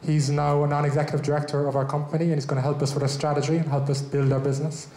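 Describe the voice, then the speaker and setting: A man's voice: on the high side, flat delivery, rapid-fire, mellow. An Irish man in his thirties opening a tech meetup as host, speaking loosely into a handheld mic with many fillers and restarts. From Ireland. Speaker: event host, head of technology. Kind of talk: welcome speech at a tech meetup. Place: large event room with a small stage. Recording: echoey event room.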